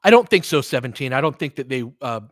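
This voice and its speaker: A man's voice: medium pitch, expressive, quick pace, no echo. Casual, profane man chatting unscripted, dry sound. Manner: earnest, rambling, freely profane. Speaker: podcast host and sports play-by-play streamer. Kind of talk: unscripted casual chat with listeners.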